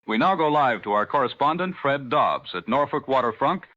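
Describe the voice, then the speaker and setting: A man playing a measured, authoritative 1940s radio newscaster, speaking formally in a radio drama. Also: medium pitch, lively intonation, pushed and bright, no room sound. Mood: serious. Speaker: radio announcer and news anchor. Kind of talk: 1940s radio broadcast acting. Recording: dull vintage radio broadcast sound.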